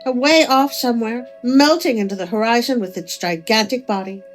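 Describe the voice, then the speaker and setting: A woman narrating a folk tale as a storyteller, with expressive delivery. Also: a little high, brisk. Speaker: storyteller, host of a story channel. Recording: music under the voice.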